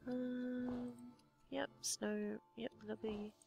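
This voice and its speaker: A young Australian woman with a slightly croaky voice, half-whispering to herself as she commentates her building game. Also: a little high, little pitch movement, takes it slowly, mellow, dull audio, soft background music. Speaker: let's play gamer. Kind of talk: casual gameplay commentary.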